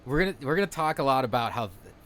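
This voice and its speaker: A man's voice: medium pitch, expressive, racing, fairly bright tone. A man in his thirties co-hosting a podcast, chatting in a loose, candid, rambling way that often trails off. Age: 30s. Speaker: podcast co-host. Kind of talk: casual chat.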